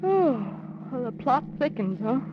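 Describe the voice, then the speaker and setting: A woman's voice: sits high, very animated, unhurried. An actress playing a plucky, wry lady companion to a sleuth in an old radio drama, with a teasing manner. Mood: wry, interested. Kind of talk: 1940s radio acting. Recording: muffled, noisy old radio recording.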